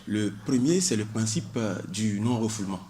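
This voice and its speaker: A man's voice: fairly low pitch, lively intonation, moderate pace, mellow, a little hiss or hum. A man, a doctoral student in public law, explaining asylum law on a radio show with many hesitations and cited articles. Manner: hesitant, many fillers, cites legal articles.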